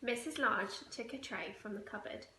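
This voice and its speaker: A woman's voice: a little high, moderate pace, pushed and bright. A woman storyteller reading a children's picture book aloud. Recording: echoey room, faint noise.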